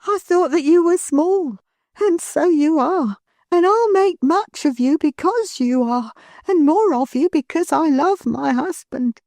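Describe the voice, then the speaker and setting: Woman putting on a loving storybook wife and mother, voice raised way up high, tender and earnest, slow and heartfelt, mellow tone. Sounds close and dry, no room around it. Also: animated, no room sound. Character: fond devoted wife, a working-class mother.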